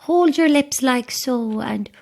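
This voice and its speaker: A make-up saleswoman in an audio drama, her voice fairly high and slowly paced. She is polite and nervous, easily flustered, with grandiose, flowery patter. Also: vocal fry, darkish tone, heavily acted. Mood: grand sales patter. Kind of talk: audio horror drama acting.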